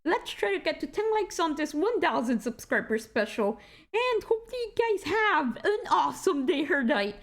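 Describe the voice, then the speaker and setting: Squeaky high-voiced woman rambling through a tour. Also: sing-song, normal speed, fairly bright tone. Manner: rambling, self-correcting, joking asides. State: tired. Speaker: gaming channel host. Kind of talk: casual game walkthrough commentary. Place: indoor room at a computer.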